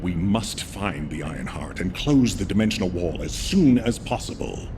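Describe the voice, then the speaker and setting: A very low-voiced male commander for a game cutscene, solemn and resolute. He briefs with measured authority while a clear rumbling noise sits behind him. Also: animated, a little mellow. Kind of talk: scripted game cutscene acting.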